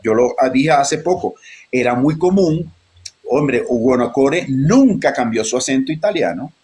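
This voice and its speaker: A man speaks in a middle-pitched voice, telling stories animatedly and circling back over his words. He talks as an actor guest in a live panel conversation, repeating phrases as he builds his point. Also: a little slow. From Venezuela (Maracaibo). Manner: animated storytelling, frequent restarts and repetitions.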